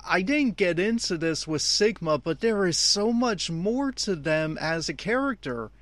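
A man's voice: high-pitched, animated, a little slow, a bit bright, no echo. A man giving off-the-cuff, opinionated audio commentary on an anime episode, speaking emphatically.

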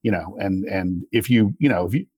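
A middle-aged man giving casual political analysis as a guest, reasoning through his points in a measured way. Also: low-pitched, darkish tone, husky, no echo, studio quality. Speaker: interview guest, political commentator.

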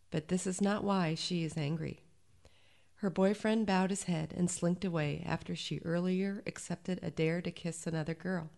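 A woman's voice: lowish voice, gravelly, a little mellow. A woman reading a short story aloud as scripted narration.

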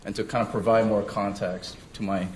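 A man's voice: fairly low pitch, level tone, fairly quick, slight background noise. A young man born in South Korea, telling his personal testimony at church in a hesitant way with many fillers and restarts. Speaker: church member giving testimony. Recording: roomy sound with faint ambience.

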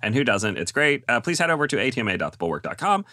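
Man, podcast host, lively pitch, bright tone, dry close sound, film review with fillers. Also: very fast, dry recording. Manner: moderating, reading a film summary with many "uh" fillers.

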